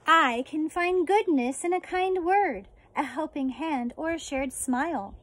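An American woman in her forties, a teacher reading a children's picture book aloud outdoors with deliberate, expressive phrasing. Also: sits high. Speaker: elementary school teacher reading a story. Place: outdoors on a residential deck.

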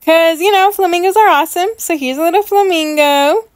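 A woman's voice: extremely high pitch, a little fast, no room sound, studio quality. A woman giving chatty, gushing commentary as a gaming YouTuber unboxing items in a game. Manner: enthusiastic, gushing.

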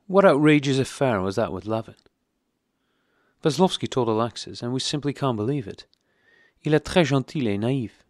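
A man's voice: mid-range voice, animated, moderate pace, no room sound, clean studio sound, pitched above the performer's own voice, lightly acted. A man voicing an effusive, confiding aristocratic woman in an audiobook reading. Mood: playful. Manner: effusive, chatty.

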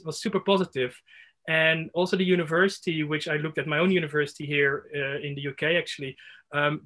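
A man's voice: a little high, fairly bright tone. A man in his thirties sharing a reflection at an online workshop, hesitant with many fillers and restarts, speaking over a laptop video call from a room at home. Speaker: workshop participant. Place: home room with window blinds.

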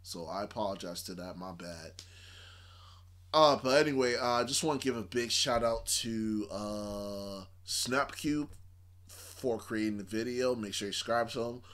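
A man's voice: lowish voice, a little slow, a bit bright. A man in his thirties chatting casually to camera, giving opinions in a hesitant, rambling, repetitive way. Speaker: reaction video host. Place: small room indoors.

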